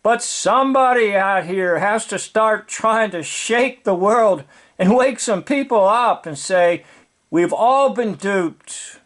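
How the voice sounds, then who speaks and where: A man with a noticeably high voice delivers informal commentary at a slow pace. He explains things in a preachy, emphatic way, circling back to repeat key words. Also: a bit bright. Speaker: video commentator.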